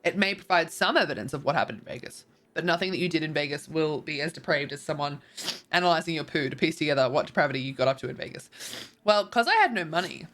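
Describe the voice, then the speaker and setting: A woman's voice: low voice, lively intonation, quick pace, fairly bright tone. A tired, congested woman, chatting casually as a streamer and reading viewer messages, rambling with lots of ums and likes.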